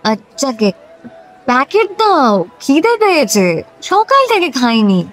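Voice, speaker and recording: A playful young woman , acted by a woman in a romantic audio play. Her voice is high and swings freely as she teases coyly, with faint music underneath. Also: big pitch swings, unhurried, fairly bright tone, full character voice. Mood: playful teasing. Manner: teasing, coy. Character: playful, teasing young former schoolgirl, now working woman. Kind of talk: romantic audio drama acting.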